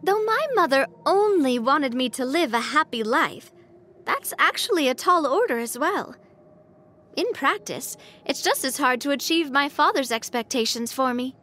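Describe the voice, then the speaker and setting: A woman's voice: high-pitched, moderate pace. An actress voicing an earnest, sentimental young woman who leads a clan, easily embarrassed, in a video game. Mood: reflective. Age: young adult. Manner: earnest, expressive, hesitant when flustered. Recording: music behind the voice.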